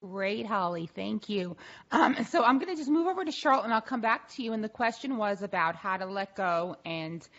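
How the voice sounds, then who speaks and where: A woman hosting an online panel, upbeat and laughing often, with a voice that is going hoarse, on a video call. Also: mid-range voice, expressive, moderate pace, a bit bright. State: losing her voice. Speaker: panel host. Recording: dull lo-fi video call audio.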